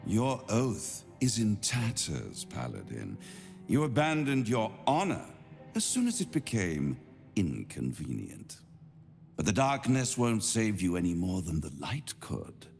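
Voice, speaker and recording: An actor playing a suave, pompous devil in a video game, teasing and menacing in a florid, silky delivery. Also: male voice, fairly low pitch, huge pitch swings, measured pace, gravelly, a little mellow, long reverb tail, faint music behind, heavily acted. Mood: scornful. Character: suave, theatrical male devil, smug, menacing tempter. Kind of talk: theatrical video game acting.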